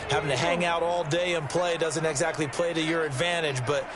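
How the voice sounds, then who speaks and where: A man's voice: fairly high pitch, fairly even intonation, a little fast, a loud crowd, very noisy, close to an everyday voice. A man playing a conversational American football color analyst in a video game, explaining plays with an American accent. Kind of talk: sports analysis commentary. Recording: loud game music behind.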